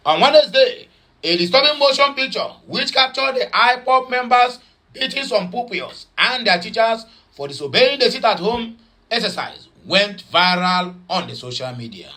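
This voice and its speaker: High, bright-voiced Nigerian man ranting. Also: very animated, moderate pace. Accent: Nigerian Pidgin. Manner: chatty, mocking, switches between Pidgin, English and Igbo; rambling with many fillers and repeats. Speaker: news commentator. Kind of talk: casual news commentary.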